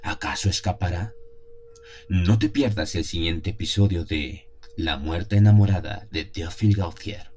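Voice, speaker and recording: Deep, mellow male horror narrator. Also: a little hiss or hum. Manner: solemn, measured. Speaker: narrator of an audio drama. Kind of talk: dramatic first-person gothic horror narration.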